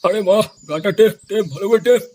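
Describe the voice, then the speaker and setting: A man's voice: high-pitched, fast talker, a little mellow. A man voicing a crude, menacing gang boss in a comic cartoon, barking orders on a noisy recording. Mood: bossy. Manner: ordering, threatening.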